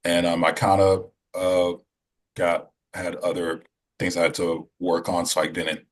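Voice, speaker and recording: A man calling in for feedback on a group call, hesitant and filling pauses as he speaks. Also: low voice, little pitch movement. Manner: hesitant, many ums and uhs. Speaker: caller on a support call. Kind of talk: casual chat on a call.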